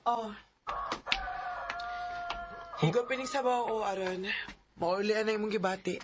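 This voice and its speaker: A man's voice: sits high, brisk, background noise, natural voice. A man playing a caring, coaxing middle-aged mother in a radio drama, with a Bisaya Filipino accent and a nurturing manner. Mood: gently chiding. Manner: nurturing, gently advising. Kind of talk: sentimental radio drama acting. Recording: music under the voice.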